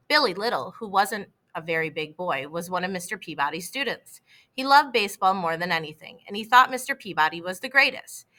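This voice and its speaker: A woman reads a children's story aloud as narrator, in an expressive, deliberate storytelling manner. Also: medium pitch. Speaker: storybook reader.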